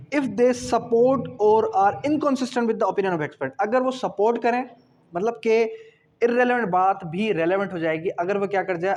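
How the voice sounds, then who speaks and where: A man's voice: high-pitched, a little mellow. A man giving an explanatory exam-prep lecture, didactic, asking and answering his own questions and repeating key phrases. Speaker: law lecturer.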